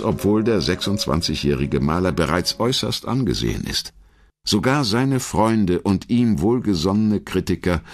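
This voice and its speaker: A man reading a scripted biographical documentary narration in a measured, polished storytelling manner. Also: deep voice.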